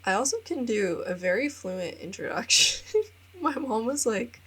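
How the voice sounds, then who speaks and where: A woman's voice: on the high side, big pitch swings, unhurried, fairly bright tone, breathy edge, low-quality recording. A young American woman in her twenties talking casually in a vlog voice-over. She rambles a little, says "like" often, laughs at herself and sounds upbeat and self-deprecating. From United States. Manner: chatty, rambling, many filler likes, laughs at herself. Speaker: vlogger and English teacher in Japan.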